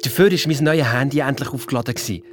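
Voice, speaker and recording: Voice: medium pitch, racing, close to an everyday voice. An actor playing Luiz's part as a young schoolchild detective, with a Swiss German accent, retelling adventures colloquially and acting out what others said. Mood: amazed, confiding. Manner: colloquial, acts out quoted speech. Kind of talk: children's radio play, colloquial first-person retelling.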